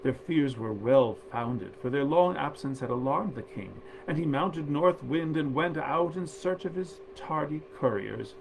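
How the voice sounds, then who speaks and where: A man storyteller reading a literary tale aloud in a measured, theatrical and genteel manner. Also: fairly high pitch, lively intonation, vocal fry, slight background noise, low-quality recording.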